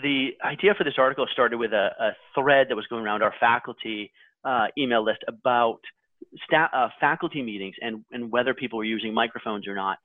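A man's voice: mid-range voice, fairly quick. Guy on a panel explaining stuff casually, tinny phone-ish audio, no room echo. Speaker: panel guest and article writer.

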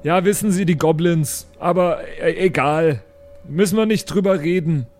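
A man speaking casually and haltingly as a player in an improvised tabletop role-play. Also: a little high, darkish tone, faint music behind. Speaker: player in a tabletop audio drama.

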